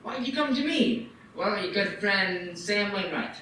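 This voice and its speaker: A scornful old banker for a live radio play, cold and sneering, with a high, bright voice. He is heard from some way off in an echoey hall with faint noise behind him. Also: animated, normal speed, far from the mic.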